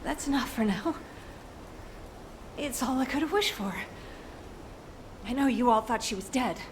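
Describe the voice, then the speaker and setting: A high-voiced mother in a dramatic game scene. She is a soldier overcome with feeling for her daughter, with faint noise behind her. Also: animated, a little slow. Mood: relieved, tearful. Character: emotional grieving mother, soldier, relieved her daughter lives. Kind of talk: dramatic video game voice acting.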